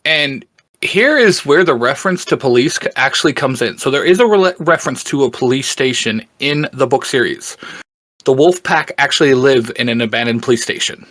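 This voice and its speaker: Man in casual, halting explanation, close dry sound. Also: mid-range voice, animated, a bit bright, no echo. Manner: halting, searching for words, often chuckling. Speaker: podcast guest and fan explaining a book series. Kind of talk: casual explanatory podcast chat.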